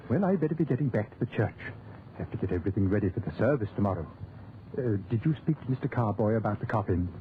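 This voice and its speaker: A man's voice: fairly low pitch, animated, lightly acted. An actor playing a sanctimonious, musing village clergyman in a 1940s radio drama. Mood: chatty musing. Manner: pious, sighing, drawn-out delivery. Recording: tinny, hissy 1940s radio recording.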